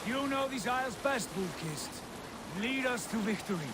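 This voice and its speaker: An actor playing a proud, bold Viking prince in a video game, with a grand, declamatory delivery. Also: male voice, extremely high pitch, expressive, moderate pace. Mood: fond, confident. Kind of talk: video game cutscene acting. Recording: clear water and outdoor ambience noise.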